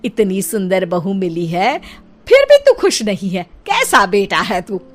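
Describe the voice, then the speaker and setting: An actress voicing a proud, boastful middle-aged mother-in-law who scolds and lectures slowly. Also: mid-range voice, very animated, faint music behind. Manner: slow, scolding and preachy.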